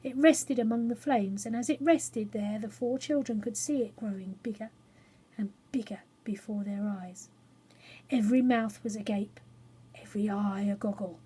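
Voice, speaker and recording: A woman narrating a children's story expressively, with dramatic pauses and emphasis. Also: mid-range voice, dark tone, slightly rough. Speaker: storyteller reading a children's book.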